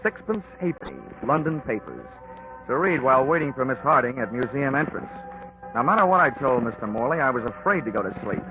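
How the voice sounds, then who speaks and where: A man's voice: mid-range voice, lively intonation, brisk. A man playing a wisecracking insurance investigator who narrates a 1940s radio detective drama in a dry manner. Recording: lo-fi old radio recording with music.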